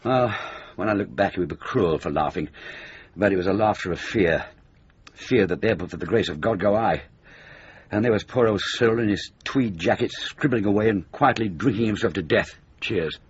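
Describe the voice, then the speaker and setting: Jaded old british comedy writer, really husky, swoopy delivery, bit muffled radio play sound. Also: male voice, on the low side, normal speed. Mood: wry, rueful. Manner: wry, self-pitying, wordy. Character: ageing jaded male comedy writer, rueful and indignant. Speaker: actor. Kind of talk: radio comedy drama acting.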